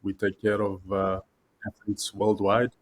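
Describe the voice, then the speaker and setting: A man's voice: fairly low pitch, completely flat delivery, unhurried. A young man from South Africa, a guest on a podcast interview, talking with many fillers and restarts. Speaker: guest, content creator.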